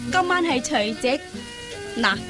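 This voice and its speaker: A woman's voice: sits high, expressive, unhurried, music in the background. A woman playing a coquettish, money-minded young dance hostess in a radio comedy, coy and coaxing with a needling edge. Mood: cool, bargaining. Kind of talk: Cantonese radio comedy acting.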